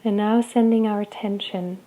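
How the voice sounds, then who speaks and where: A woman guiding a body scan meditation, speaking slowly and gently with long pauses between phrases. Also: medium pitch, fairly even intonation, muffled recording. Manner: slow, soft, gentle guidance with long pauses. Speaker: meditation guide.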